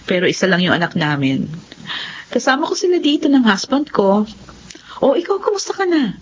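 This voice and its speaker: A woman's voice: on the high side, very animated, normal speed, highs cut off, slight background noise. A woman playing a cheerful, encouraging old classmate in a radio drama. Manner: upbeat, encouraging. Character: cheerful supportive old classmate, now abroad.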